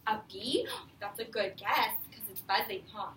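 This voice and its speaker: A woman teacher reading a picture book aloud to young children and asking them questions, in an expressive, encouraging, patient manner. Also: medium pitch, measured pace. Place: indoor room with a group of young children. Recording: roomy, distant mic, faint background noise.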